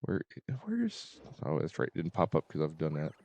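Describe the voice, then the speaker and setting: Low-voiced game streamer. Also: huge pitch swings, moderate pace, a little mellow. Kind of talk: casual live stream chat.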